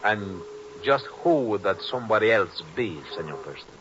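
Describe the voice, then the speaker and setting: An actor playing a smooth, menacing Latin American businessman, formal and measured, in radio drama. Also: fairly low pitch, very animated, fairly bright tone. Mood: suave, insinuating. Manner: formal, measured, veiled threats. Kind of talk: 1950s radio drama acting. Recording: muffled old radio recording, hiss.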